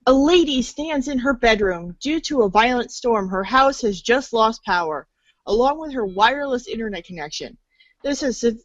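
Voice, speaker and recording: A woman's voice: a little high, dry recording, muffled recording. A woman reading scripted second-person game narration in the manner of a read-along storybook.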